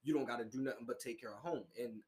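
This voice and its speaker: A man's voice: medium pitch, racing, a little mellow. A man co-hosting a casual podcast about relationships, talking in a laid-back way and often saying "you know what I'm saying". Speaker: podcast co-host.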